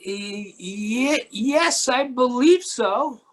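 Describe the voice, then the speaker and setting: A performer playing a kindly, lonely, mild-mannered man in a readers theatre children's story, with a husky, hesitant delivery. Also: mid-range voice, lively intonation, very slow. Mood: concerned.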